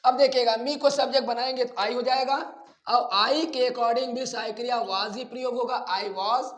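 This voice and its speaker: A man teaching a grammar lesson, deliberately repeating phrases and addressing his students directly in an echoey, roomy recording. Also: very high-pitched, steady tone, fairly bright tone. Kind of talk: classroom-style grammar lesson.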